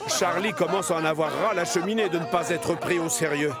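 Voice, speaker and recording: A man narrating a children's cartoon in French as an expressive scripted storyteller who addresses young viewers. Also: on the high side, brisk, over a noisy crowd. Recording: music behind.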